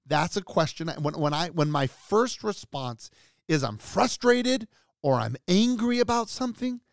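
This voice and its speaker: A man's voice: high-pitched, animated, a little slow, no echo, clean studio sound. A man co-hosting a podcast, chatting casually and walking through a list with an upbeat manner and frequent restarts. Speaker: podcast co-host.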